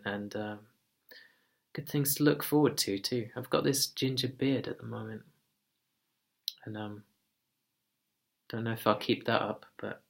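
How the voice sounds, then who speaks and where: A man giving a casual personal update in a slow, monotone, calm voice. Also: on the low side, vocal fry. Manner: soft-spoken, relaxed, occasional quiet laughs. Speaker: vlogger.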